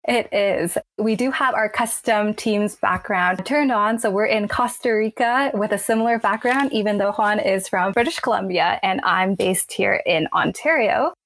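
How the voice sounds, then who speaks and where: A woman's voice: mid-range voice, normal speed, hardly any pauses, fairly bright tone, dry recording. A woman hosting a workplace podcast, reading an upbeat scripted introduction and then interviewing a guest, recorded at home on a budget lapel mic. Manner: upbeat, enthusiastic. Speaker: podcast host.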